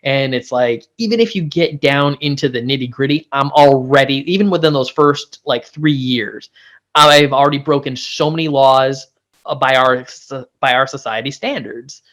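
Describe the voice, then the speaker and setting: A man's voice: medium pitch, normal speed, fairly bright tone. Rambling man in casual review chat. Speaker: pop-culture show co-host.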